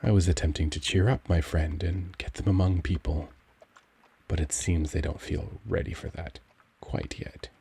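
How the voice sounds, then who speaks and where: A man playing a young, charming, cultured vampire gentleman in an ASMR audio drama, speaking in a gentle, caring, genteel and formal manner. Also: low voice, fairly even intonation, moderate pace, vocal fry, breathy edge, heavily acted. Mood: charming, inviting. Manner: genteel, formal, soft-spoken, courtly phrasing.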